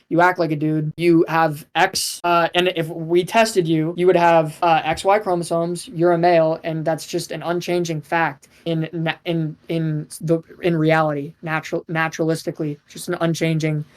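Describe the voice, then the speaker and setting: A young man arguing insistently in an online debate, often repeating himself. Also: on the high side. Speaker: debate guest. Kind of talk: heated debate.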